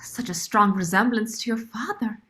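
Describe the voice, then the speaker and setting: A woman playing a devoted, dignified older nursemaid in a radio drama, formal and measured. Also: on the high side, a bit bright, lightly acted. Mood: reproachful.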